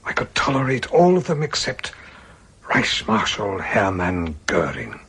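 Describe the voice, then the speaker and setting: An ailing retired British army colonel in a radio drama. His voice is low and badly husky, breathy at the edges. He speaks formally and haltingly, weighed down with remorse. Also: wildly swooping pitch, fairly bright tone, heavily acted. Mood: grave, indignant. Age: older.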